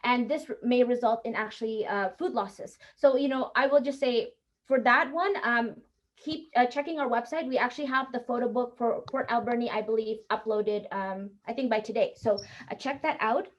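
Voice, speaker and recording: A woman, answering audience questions in a webinar in an earnest, halting way, with many fillers and restarts. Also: fairly high pitch, highs cut off. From Indonesia. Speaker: guest speaker.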